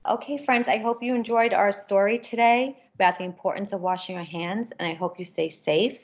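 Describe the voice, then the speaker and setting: A woman's voice: medium pitch, fairly even intonation, a little fast. Middle-aged female teacher, children's read-aloud, lo-fi home webcam recording. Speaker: school teacher reading aloud. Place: bright room at home. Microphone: built-in webcam mic at arm's length. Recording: dull lo-fi webcam sound.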